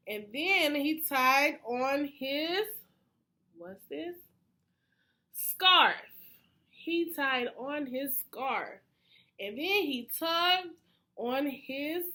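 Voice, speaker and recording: A woman reading a children's picture book aloud in an animated way, pausing to ask questions of young listeners. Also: high-pitched. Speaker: read-aloud storyteller.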